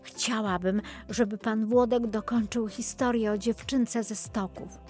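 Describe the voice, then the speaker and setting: A woman voicing a curious, polite and excitable little girl in a children's story. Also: fairly high pitch, a little slow, lightly acted. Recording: music behind the voice.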